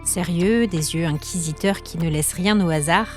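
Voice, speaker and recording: A woman narrating a scripted story podcast in a measured, suspenseful, dramatic way, with short weighted phrases. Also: fairly low pitch. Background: music.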